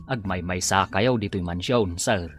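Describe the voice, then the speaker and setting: A man's voice: low voice, unhurried, over music. A man playing a devout, respectful servant who counsels his employer in an Ilocano radio drama. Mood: respectful, probing.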